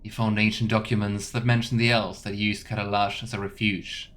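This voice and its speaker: A man's voice: fairly low pitch, level tone, a little fast, fairly bright tone. A man giving casual, musing let's play commentary with wry teasing asides. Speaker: game streamer.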